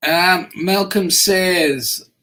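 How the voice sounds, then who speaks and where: A man hosting a casual live stream, reading viewer comments in a blended South African and Australian accent, relaxed and bantering with frequent fillers. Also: high voice, very slow. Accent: South African and Australian mix. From part South African.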